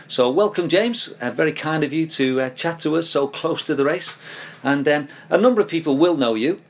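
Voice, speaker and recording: Man hosting a running podcast. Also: medium pitch. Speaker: podcast host. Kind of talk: podcast interview.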